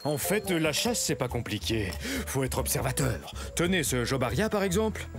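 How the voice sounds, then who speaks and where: A sly hunting cartoon dinosaur. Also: male voice, medium pitch, very animated, moderate pace. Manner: teasing, confiding, teacherly. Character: sly male meat-eating hunter dinosaur. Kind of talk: children's educational cartoon. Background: music.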